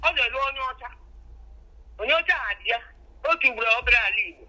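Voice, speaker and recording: A woman's voice: on the high side, lively intonation, very fast, sharp tone. A middle-aged woman from Nigeria with a Nigerian accent, talking casually over a thin telephone line. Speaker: mother of the vlogger. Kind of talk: animated casual phone conversation.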